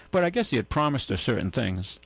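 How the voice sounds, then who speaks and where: Gravelly radio host telling rambling stories. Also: male voice, medium pitch, very animated, normal speed, no room sound. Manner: rambling anecdotes with many restarts and acted-out quotes. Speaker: radio show host and car dealer. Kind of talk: casual on-air storytelling. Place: radio studio.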